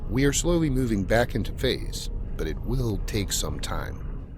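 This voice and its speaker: A logical young alien science officer acted by a man gives precise, formal technical reports in a fairly low voice. It is science fiction audio drama, with loud rumbling noise behind him. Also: big pitch swings, unhurried. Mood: matter-of-fact. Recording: noisy track with engine rumble.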